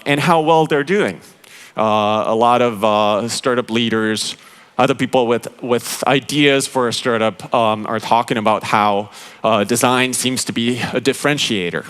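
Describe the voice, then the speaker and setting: Man, mid-pitched and clear-toned, explaining to a conference crowd with frequent pauses. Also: fairly bright tone. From Finland. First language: Finnish. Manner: explanatory, halting with many fillers, addresses the audience directly. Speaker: conference speaker, interaction design director. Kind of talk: conference keynote talk.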